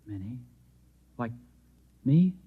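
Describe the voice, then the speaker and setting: An actor in a vintage radio drama playing an earnest, halting, bewildered husband who believes he is the last Martian. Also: mid-range voice, big pitch swings, slightly rough, heavily acted. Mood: hopeful wonder. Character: unstable, lonely husband, earnest self-declared last Martian. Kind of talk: 1950s radio drama acting. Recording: dull old radio recording.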